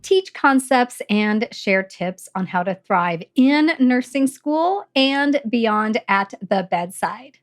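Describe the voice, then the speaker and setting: A woman with a somewhat high voice that sounds a bit croaky and gravelly, as if still getting over a cough. She hosts a nursing podcast in a friendly teaching manner, walking through each step and going back over the key points. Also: animated, takes it slowly, hardly any pauses. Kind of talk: casual teaching talk.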